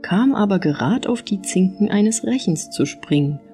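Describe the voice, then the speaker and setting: Female audiobook narrator for fairy tales, animated delivery, warm tone. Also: mid-range voice, moderate pace, faint music behind. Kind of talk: expressive fairy-tale storytelling.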